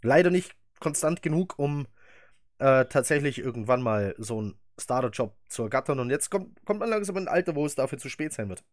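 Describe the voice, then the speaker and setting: A man's voice: medium pitch, lively intonation, brisk, dry recording, studio quality. A man in his thirties hosting a casual football podcast, chatty and opinionated. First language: German. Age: 30s. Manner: chatty, opinionated, laughs easily. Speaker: podcast host.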